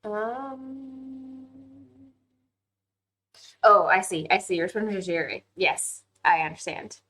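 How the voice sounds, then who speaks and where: A woman's voice: mid-range voice, steady tone, slow pace, sharp tone, rough recording. A woman hosting a casual live online class, reading viewer comments and talking them through in a chatty, candid way. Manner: chatty and candid, with frequent um fillers and easy laughs.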